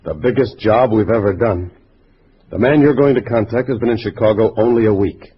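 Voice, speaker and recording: Communist cell leader, male, acted, brisk authority, lower register, faintly hissy vintage radio sound. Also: close to an everyday voice. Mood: commanding. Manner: curt, authoritative orders. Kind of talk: 1950s radio drama acting. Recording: dull, faintly noisy old radio recording.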